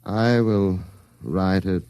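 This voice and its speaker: An actor playing a courteous, composed Martian gentleman in a 1950s radio drama, formal and precise in his speech. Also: sits low, very slow, clear, resonant tone, no room sound, studio quality, full character voice. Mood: businesslike, commanding.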